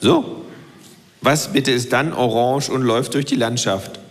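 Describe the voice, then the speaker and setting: A man voicing a cheeky, sarcastic male kangaroo who rants quickly in a storybook reading, with a long hall reverb. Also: medium pitch, slight background noise, close to an everyday voice. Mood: mocking. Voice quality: sarcastic, fast-talking ranter. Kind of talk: reading aloud.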